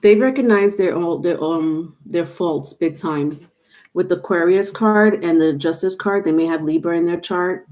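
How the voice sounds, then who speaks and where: A woman's voice: on the low side, moderate pace, a little mellow. A woman with a stuffed-up nose giving a live tarot reading on camera, rambling with many fillers and restarts. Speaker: tarot reader. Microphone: webcam or phone on camera.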